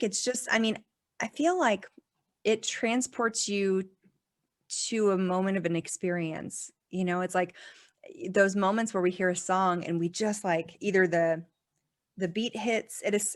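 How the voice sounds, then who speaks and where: A woman as a podcast guest in casual conversation, chatty and full of "like" fillers, laughing often. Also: mid-range voice.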